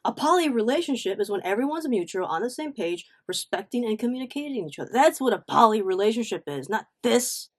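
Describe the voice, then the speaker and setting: A woman telling a casual story-time vlog in a blunt, slangy, animated way, with frequent conversational asides. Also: a little high, fast talker, hardly any pauses. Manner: animated, blunt, swearing, acts out quotes. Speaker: online storyteller.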